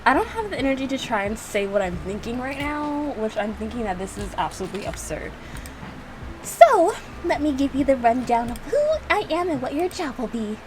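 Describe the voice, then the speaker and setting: A woman's voice: sits high, sing-song, brisk, background noise. A woman giving casual reaction commentary on her own work, reading bits aloud in a giggly, self-mocking way. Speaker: video creator reacting to own visual novel. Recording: music behind the voice. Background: music.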